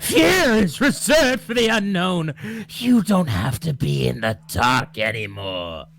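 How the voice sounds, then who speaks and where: A man voicing a mad, possessed neighbor in a horror radio drama, with a croaking, gurgling, unhinged and menacing delivery. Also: fairly high pitch, animated, a bit bright, pitched above the performer's own voice, heavily acted. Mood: menacing, coaxing. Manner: drawn-out, unhinged, swooping delivery.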